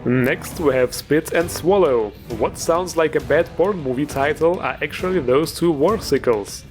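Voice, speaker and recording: A man's voice: a little high, a little slow, soft background music. A man hosting a video game mod show, giving informal, enthusiastic commentary with a joking streak. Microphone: voice-over recorded over gameplay music.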